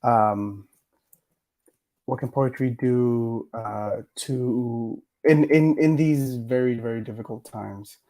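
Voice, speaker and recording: Soft-toned man, hesitant and reflective. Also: medium pitch, takes it slowly. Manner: hesitant, many fillers and restarts. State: recovering from a cough, voice a bit worn. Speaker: poet laureate giving a lecture. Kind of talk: reflective mini lecture on poetry.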